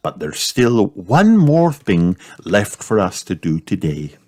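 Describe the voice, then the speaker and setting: A gentle mother pig in a children's storybook, as a man reads her. She sounds kindly and reassuring. The delivery is unhurried, with animated rises and falls. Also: lowish voice, exaggerated voice. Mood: encouraging.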